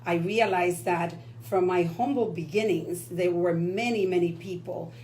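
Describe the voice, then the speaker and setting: A woman's voice: lowish voice, a little slow, darkish tone, a little hiss or hum. A woman from the Dominican Republic co-hosting a radio talk show, earnest and enthusiastic. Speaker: radio co-host.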